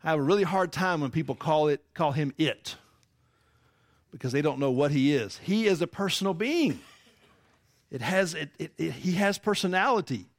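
A man's voice: on the high side, expressive, moderate pace, no room sound, clean studio sound. A man preaching a sermon, teaching emphatically and asking the congregation rhetorical questions. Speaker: pastor.

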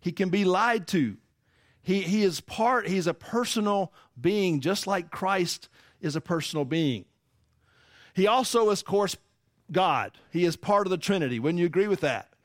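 A man's voice: high voice, animated, moderate pace, no room sound, clean studio sound. A man preaching a sermon, teaching emphatically and asking the congregation rhetorical questions. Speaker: pastor.

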